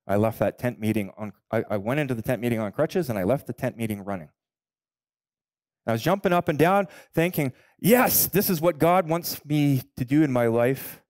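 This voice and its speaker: A man, a pastor telling his own story in a sermon, building to loud, emphatic peaks. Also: mid-range voice, expressive, normal speed, no room sound, clean studio sound. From Canada. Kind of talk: sermon with personal testimony. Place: church stage, indoors. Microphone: headset mic.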